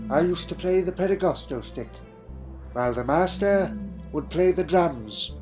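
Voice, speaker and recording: A man plays a wistful, lonely time traveller from another world in an audio drama. His voice sits a little high for a man and moves in short, halting, reflective phrases. Music plays clearly beneath it. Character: wistful male alien time traveller recalling a lonely childhood. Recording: music under the voice.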